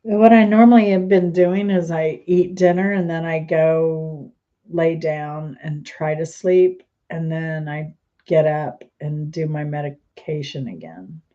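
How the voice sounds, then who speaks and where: A woman's voice: deep voice, measured pace, mellow, dull audio. A woman host chatting casually and rambling, trailing off mid-sentence, sounding stuffy and congested. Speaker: channel host. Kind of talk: casual rambling chat to camera.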